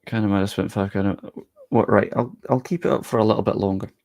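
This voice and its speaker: A man's voice: on the low side, steady tone, fast talker, creaky, darkish tone, no echo. A young man live-streaming, chatting casually with his viewers and thinking aloud as he works on screen.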